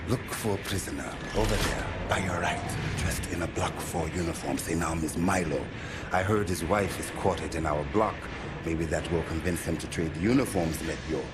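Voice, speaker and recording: A man acts out a weary foreign labour-camp survivor for a video game. His voice is low and confiding, in halting non-native English. Machinery and vehicles make a loud din behind him. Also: heavy background noise, lightly acted. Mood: hushed, conspiratorial.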